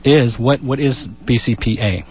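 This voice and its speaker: A man's voice: mid-range voice, fairly even intonation, normal speed, a little hiss or hum. A man hosting a radio interview, casual and a little hesitant, with teasing remarks. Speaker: radio host. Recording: dull radio studio sound.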